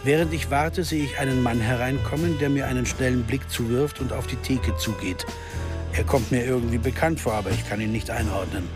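A man's voice: mid-range voice, a little fast, natural voice. Introspective male sleuth over music, played by an actor. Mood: pensive. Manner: reflective, intimate storytelling. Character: troubled male American amateur sleuth, introspective, driven, restless. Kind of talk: radio drama acting with first-person narration.

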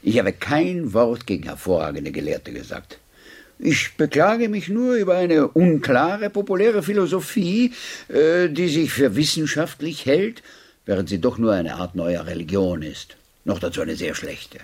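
Husky, wry gentleman philosopher. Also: mid-range voice, animated, unhurried. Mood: spirited, argumentative. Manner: courteous, wry, discursive. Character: genial, ironic, eloquent English gentleman philosopher. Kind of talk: German radio drama acting.

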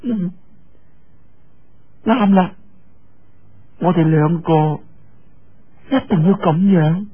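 Guy doing a high girlish young woman, playful n pouty, whiny teasing, storybook style, lo-fi recording. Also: drawn-out words, pauses often, breathy edge, exaggerated voice. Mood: determined. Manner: coquettish, whining.

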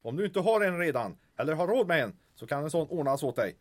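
A man's voice: on the high side, big pitch swings. A man playing a folksy, pompous older detective who bumbles through his official lines in an audio drama. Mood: officious. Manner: pompous, bumbling, coarse colloquial talk. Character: blustering, folksy grandfather moonlighting as hotel detective, arresting officer.

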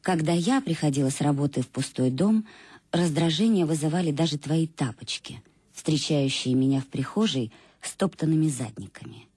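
An actress playing a wistful married woman in a radio play, giving an intimate, reflective confession in a measured way. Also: sits low, warm tone, breathy edge, no echo, clean studio sound. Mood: wry, weary. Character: wistful married woman recalling her fading love. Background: music, guitar.

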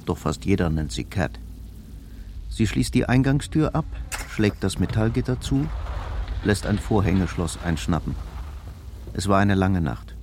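An actor as the grave narrator of a crime thriller radio drama, telling it in short, scripted present-tense sentences. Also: male voice, deep voice, lively intonation, unhurried, pauses often, darkish tone, a little hiss or hum. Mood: tense, restrained. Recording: music behind the voice.

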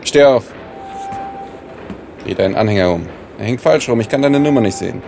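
A menacing Nazi sergeant, creaky-voiced and widely swooping in pitch. He toys with his victim in mock-friendly, needling questions, then snaps out commands. It is radio drama, with clear train noise behind him. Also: lively intonation, brisk, darkish tone. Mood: barking, commanding. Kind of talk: German radio drama acting.

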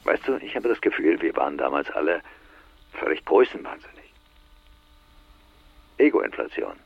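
An actor playing a blunt, shaken middle-aged man probing an old friend in naturalistic radio drama, with a gravelly, husky, expressive voice. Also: extremely low pitch, normal speed, no echo, muffled recording, exaggerated voice. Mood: reflective, blunt.

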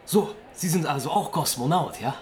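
A man playing an excitable live radio correspondent in a radio play. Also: on the high side, moderate pace, lightly acted. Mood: curious. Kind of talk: mock live broadcast reporting, radio play.

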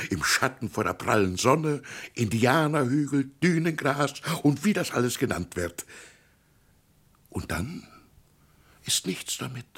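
An old, lonely country pastor in a 1960s radio play. His voice is gravelly and very breathy, with melody that rises and falls widely. He muses haltingly and poetically, full of wistfulness. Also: on the low side, a bit bright, full character voice. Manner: musing, halting, poetic delivery. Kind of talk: 1960s German radio drama acting. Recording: 1960s radio drama recording.

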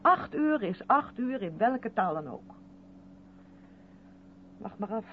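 A hissy, phone-thin old radio drama recording carries an earnest, strict woman. Her pitch moves in lively swings as she probes and insists, emphatic in seventies radio style. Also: slow pace. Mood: stern, insistent. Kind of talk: 1970s Dutch radio play acting. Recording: noisy, tinny band-limited old radio recording.

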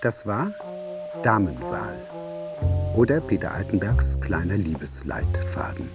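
A man's voice: sits low, big pitch swings, measured pace. A man reading scripted credits as a radio play announcer. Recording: prominent music under the voice.

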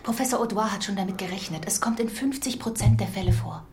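A woman's voice: mid-range voice, fast talker, a little mellow, slight background noise, lightly acted. A woman playing a caring, matter-of-fact hospital nurse in an audio drama, speaking politely and soothingly. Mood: reassuring.

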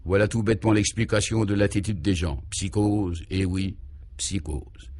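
A man voicing a troubled governor in a radio serial, grave and anxious, thinking aloud in halting, solemn phrases. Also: lowish voice, fairly even intonation, a little mellow, slight background noise, lightly acted.

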